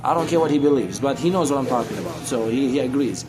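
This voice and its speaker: A man's voice: medium pitch, expressive, fast, low-quality recording. Rambling, preachy man over music. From Syria. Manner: rambling, repeats phrases for emphasis; preachy, addresses listener as brother. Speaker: street guitarist and talker. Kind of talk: casual, unscripted explaining in conversation. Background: guitar music.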